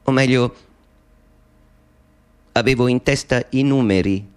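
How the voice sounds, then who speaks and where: A man's voice: medium pitch, slow pace, darkish tone, clear, resonant tone, lightly acted. An actor playing a middle-aged man speaking an intimate, wistful monologue to his mother in a radio play. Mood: reflective.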